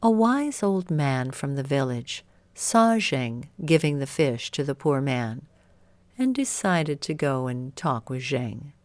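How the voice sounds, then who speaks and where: A woman's voice: a bass voice, lively intonation, takes it slowly, darkish tone, dry recording. A woman reading a scripted bedtime story as narrator.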